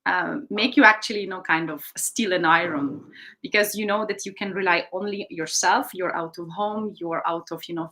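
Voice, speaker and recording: Lowish-voiced woman coach. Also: fairly bright tone. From Ukraine. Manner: reflective, frequent ums and you-knows. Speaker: artistic swimming coach. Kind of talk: interview storytelling.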